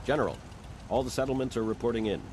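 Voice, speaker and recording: A man acting as a dutiful militia soldier in a game. He reports quickly and formally in a medium-pitched voice. Faint noise sits behind him. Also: darkish tone, lightly acted. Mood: ready, dutiful. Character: brisk, deferential militia soldier reporting to his general.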